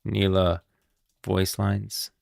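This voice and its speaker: A man's voice: deep voice, little pitch movement, very slow, creaky, darkish tone, dry recording, clean studio sound. A man streaming casual game lore commentary, thinking aloud in an informal way. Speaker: live streamer.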